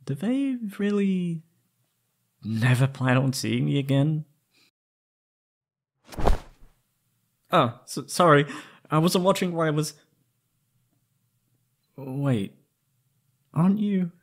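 A young man acting an anxious, wistful former captive in a scripted roleplay audio drama, speaking hesitantly and haltingly. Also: fairly high pitch, lively intonation, heavily acted. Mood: troubled, wistful.

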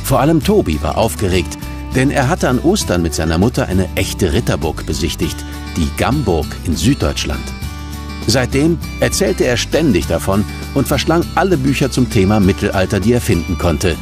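A man's voice: on the low side, very animated, normal speed, music in the background. A man narrating a scripted children's story as the storyteller of an audio drama.

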